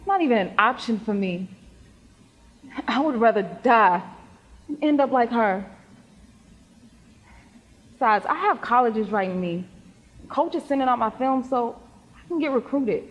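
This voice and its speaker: Determined, defensive teenage girl athlete played by a woman, higher-pitched and husky, stage drama in a reverberant hall. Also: expressive, a little slow, pauses often, lo-fi sound. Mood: determined, weary. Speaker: stage actor. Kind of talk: stage play acting. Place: theatre stage, recorded in the hall. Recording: echoey hall with long reverb.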